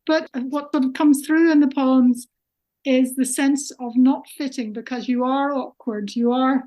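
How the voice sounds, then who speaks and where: A woman from Ireland hosting a podcast interview, putting measured observations and questions to her guest. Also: high voice, fairly even intonation, warm tone, poor audio.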